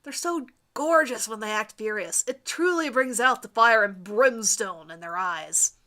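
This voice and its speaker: A woman's voice: on the high side, measured pace, bright, forceful tone. A woman voicing an arrogant, easily irritated teenage boy heartthrob in a dramatic fan fiction reading, disdainful and self-satisfied. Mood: smug.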